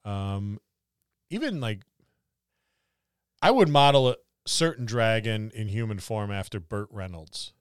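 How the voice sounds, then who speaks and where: A man's voice: fairly low pitch, lively intonation, a little slow, long pauses, clear, resonant tone, no room sound, studio quality. A man co-hosting a podcast, chatting casually about tabletop gaming in a rambling, musing way. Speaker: podcast co-host.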